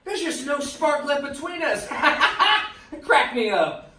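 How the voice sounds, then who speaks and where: A woman's voice: sits high, lively intonation, slow pace, bright, forceful tone, exaggerated voice. A woman playing a cocky, wisecracking superhero at a table read of a play. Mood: cocky taunting. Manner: wisecracking, taunting. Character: cocky, quipping superhero Impulse, fighting robots. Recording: echoey room, distant mic, faint noise.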